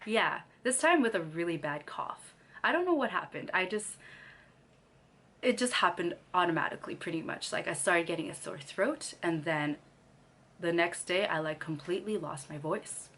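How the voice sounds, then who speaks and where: A woman's voice: on the low side, expressive, moderate pace, a bit bright. A young woman from Canada chatting casually to camera, laughing often between sentences, her throat still a little scratchy. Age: young adult. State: slightly scratchy throat after losing her voice. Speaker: vlogger.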